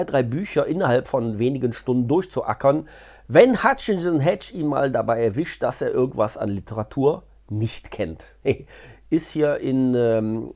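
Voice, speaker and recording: Lively middle-aged man, chatting casually. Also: mid-range voice. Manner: colloquial, chatty, with wry asides. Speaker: vlogger and audio drama reviewer. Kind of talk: casual unscripted explainer to camera. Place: living room, seated on a sofa indoors. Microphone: clip-on lapel mic.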